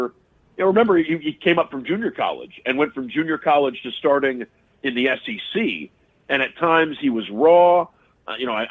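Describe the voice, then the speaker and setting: A man calls in as a sports radio guest, his voice squeezed through a thin, tinny phone line. He talks analytically at a middle pitch, often circling back and restarting phrases mid-thought. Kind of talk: casual sports interview.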